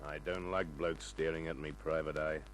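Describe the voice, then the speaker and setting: An actor playing a big, surly hired gunman, quick to threaten, in an old-time radio crime drama. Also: a bass voice, little pitch movement, music in the background. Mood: surly, offended. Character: big, menacing, thick-headed male hired gunman. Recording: humming, noisy old radio recording.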